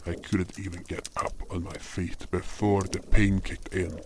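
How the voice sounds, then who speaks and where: A man's voice: low-pitched, flat delivery, a little slow, noisy background. A man narrating a scripted first-person fantasy story as its storyteller and voice actor. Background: music.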